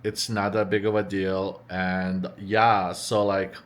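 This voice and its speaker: Rambling young man, low voice. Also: level tone, takes it slowly. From Bosnia and Slovenia region, raised in North America from thirteen. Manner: rambling, many fillers and restarts. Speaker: vlogger. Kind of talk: casual vlog chat. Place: at home, working on a build project.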